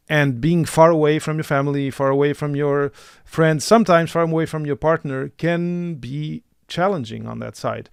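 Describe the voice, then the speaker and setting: A male podcast host with a gravelly edge to his voice, recorded close and dry. He talks in a halting but encouraging way, with frequent restarts as he works out his questions. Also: medium pitch, dry recording. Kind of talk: podcast interview.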